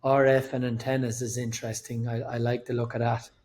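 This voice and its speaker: A man's voice: mid-range voice, little pitch movement, a little fast, a little mellow. A man hosting a podcast, asking his guest questions in a friendly, conversational way. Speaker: podcast host. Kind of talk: podcast interview.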